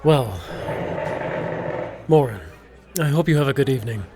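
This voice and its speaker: A man playing an earnest, humble young male squire in an audio drama, speaking softly and slowly. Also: mid-range voice, expressive, some chatter behind, lightly acted.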